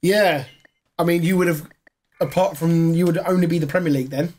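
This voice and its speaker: This is a hoarse man, fairly high for a man, chatting casually on a gaming podcast. He rambles with fillers, recorded on a desk mic in a small home room. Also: steady tone, fairly quick, darkish tone. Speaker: podcast co-host.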